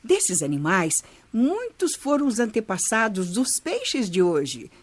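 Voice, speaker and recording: An actress playing a kindly older Brazilian grandmother who teaches through stories in a children's radio drama, measured and expressive. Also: a little high, full character voice. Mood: patient, instructive. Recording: music bed under voice.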